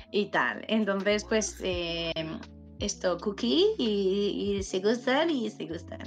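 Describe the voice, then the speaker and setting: A young woman from chatting animatedly on a headset during a video call, swearing casually and acting out quotes. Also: mid-range voice. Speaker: podcast co-host. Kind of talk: casual chat. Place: home room, indoors. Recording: dull lo-fi call audio.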